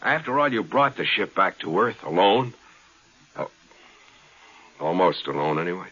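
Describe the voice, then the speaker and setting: An actor playing a hearty, insistent space explorer with an earnest, probing manner in a 1950s radio drama. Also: male voice, sits low, sing-song, moderate pace, bright, forceful tone, husky, heavily acted. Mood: earnest, reassuring. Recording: dull, lo-fi 1950s radio recording.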